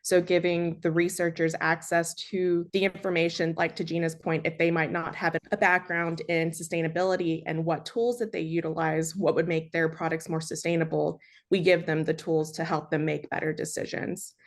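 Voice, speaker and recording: A woman speaking steadily and in a measured way as a panelist. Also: low voice, flat delivery. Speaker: panelist, sustainability project manager. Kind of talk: panel discussion.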